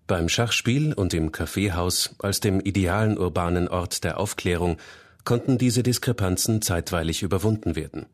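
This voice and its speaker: Man reading a passage aloud, very deep voice, formal and measured.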